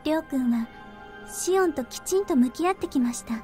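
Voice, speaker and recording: A gentle young woman from a visual novel, high-voiced and reassuring. She speaks earnestly and briskly, with music playing behind her. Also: expressive. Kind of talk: Japanese visual novel voice acting.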